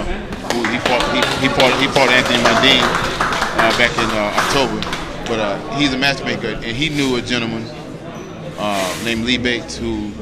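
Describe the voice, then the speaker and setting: An American man in his late thirties, a boxer chatting casually as an interview guest, earnest and rambling, often saying "you know.". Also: medium pitch. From United States.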